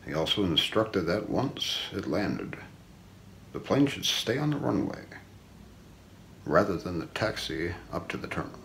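A middle-aged man narrates a mystery in an ASMR documentary style. His voice is very low and creaky, with intonation that swings widely from phrase to phrase. He keeps a measured, solemn storytelling delivery. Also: very animated, a bit bright, a little hiss or hum. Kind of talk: ASMR scripted narration, true-crime documentary role play. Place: small indoor room.

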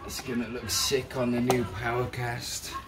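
A man's voice: mid-range voice, flat delivery, a little slow, heavy background noise. A man giving casual, sweary, slangy unboxing commentary indoors, filming on a handheld phone. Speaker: fishing vlogger. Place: indoors at a table. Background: music.

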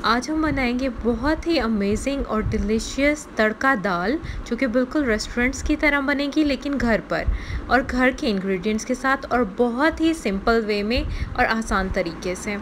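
Woman host, fairly high voice, patient step-by-step recipe voice-over, faint hiss. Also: expressive, normal speed. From Pakistan. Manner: explains each step, often restating in simpler words. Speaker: cooking channel host.

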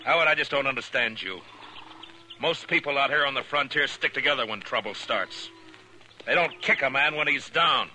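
An American actor playing a stern, fair, world-weary frontier marshal in a 1950s radio western, terse and authoritative. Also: male voice, medium pitch, expressive, normal speed, pushed and bright. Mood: firm. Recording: noisy vintage 1950s radio recording.

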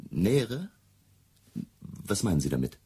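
An actor in a German radio play playing a touchy, indignant gentleman, gravelly and deep, emphatic and defensive. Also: big pitch swings, unhurried, heavily acted. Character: touchy, indignant gentleman friend of a missing singer.

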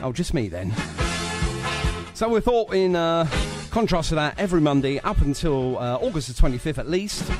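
A man hosts a casual radio show from his home studio, chatty and full of small jokes. His voice sits a little high and swings up and down a lot, with music playing underneath him. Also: normal speed. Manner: chatty, jokey, lively intonation. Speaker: radio DJ and host. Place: home studio with doors open.

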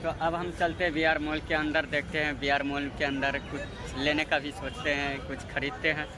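A man's voice: fairly high pitch, flat delivery, moderate pace, crowd noise. A young man, a native Maithili speaker, chatting casually to his phone as he walks, narrating his vlog in an informal, repetitive way. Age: young adult. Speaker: vlogger. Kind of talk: casual vlog chat to camera. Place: outdoors by roads and inside a large busy mall. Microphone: phone at arm's length. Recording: loud street and mall ambience, handheld. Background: loud urban ambience and vehicles.

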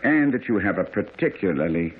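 An actor playing a brilliant, self-assured, teasing gentleman detective in a radio drama, lecturing theatrically. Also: sits low, very animated, fairly quick, breathy edge, heavily acted. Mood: self-assured, amused. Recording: lo-fi, narrow vintage radio sound.

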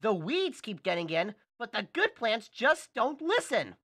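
A man voicing an earnest, excitable young hedgehog hero with an eager delivery. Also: high voice, unhurried, pitched above the performer's own voice, exaggerated voice. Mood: frustrated. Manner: eager, exclaiming.

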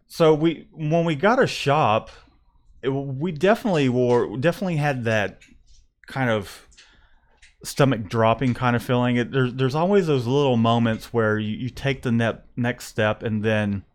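A man in his thirties, a print shop owner, talking casually about his business into a close studio mic, rambling and restarting his thoughts. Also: medium pitch. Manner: rambling, restarts often, thinks aloud. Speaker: screen printing shop owner and podcast host. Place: small print shop room. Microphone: studio condenser mic close up, headphones.

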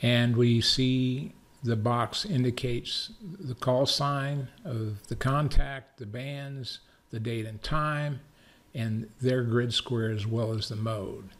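A man with an American accent narrating a screencast software tutorial in a measured, methodical, step-by-step way. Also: medium pitch. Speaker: tutorial presenter, amateur radio operator.

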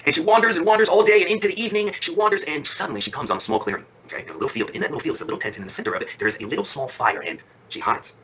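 A man playing an affectionate father who improvises a bedtime fairy tale in an informal, conversational way, checking in with his listener, his voice husky. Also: sits high, sing-song, rapid-fire, few pauses, fairly bright tone, slight background noise. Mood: suspenseful. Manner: conversational, peppered with check-in questions. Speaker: storyteller. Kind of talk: animated, informal bedtime storytelling.

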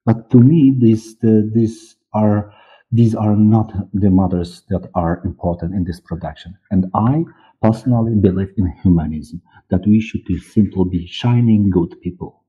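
A middle-aged man with a Polish accent, a native Polish speaker, giving interview answers haltingly as he searches for words. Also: fairly low pitch, slightly rough. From Poland. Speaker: guest, audiobook director and producer. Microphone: headset on a video call. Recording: muffled, narrow-band video call audio.

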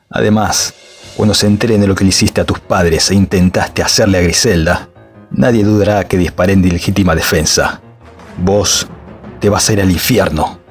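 A man's voice: sits low, fairly even intonation, normal speed, slightly rough, soft background music. A man playing a gloating cruel villain. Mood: triumphant. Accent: Rioplatense Spanish. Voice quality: gloating, sneering laughter. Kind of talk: online radio drama acting.

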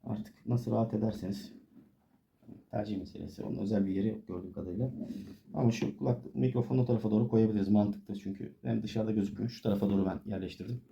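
A man's voice: lowish voice, fairly even intonation, fast talker, creaky, mellow, lo-fi sound, a little hiss or hum. A man giving a casual hands-on tutorial, explaining informally step by step as he works. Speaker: DIY tutorial presenter.

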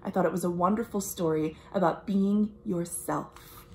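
A young American woman reads a picture book aloud to children, expressive and deliberate, with friendly asides like a storytime librarian. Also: fairly low pitch. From United States. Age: young adult. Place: small room at home.

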